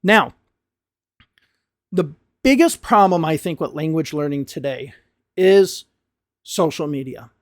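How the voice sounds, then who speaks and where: A man's voice: fairly high pitch, lively intonation, slow, long pauses, dry recording, clean studio sound. An American man, an English teacher, giving a frank, impassioned talk to his learners with emphatic stresses. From United States. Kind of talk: talking-head video lesson.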